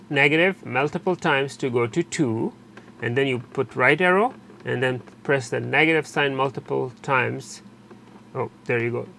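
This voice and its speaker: Male tutorial narrator, average pitch, halting explanatory delivery, recorded with light background noise. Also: vocal fry. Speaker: technician giving a tutorial. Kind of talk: unscripted step-by-step instructional narration. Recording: steady background noise, room ambience.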